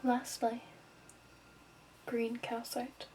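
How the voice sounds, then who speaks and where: A woman doing an ASMR shopkeeper roleplay in a soft, breathy, whispered voice, gently instructing. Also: fairly high pitch, completely flat delivery, drawn-out words, slight background noise. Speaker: ASMR performer playing a crystal shop keeper.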